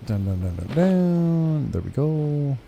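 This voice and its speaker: A woman's voice: low-pitched, big pitch swings, slow, vocal fry, mellow, a little hiss or hum. A woman giving laid-back, casual playthrough commentary as a gaming host, with game music behind. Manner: laid-back, informal asides.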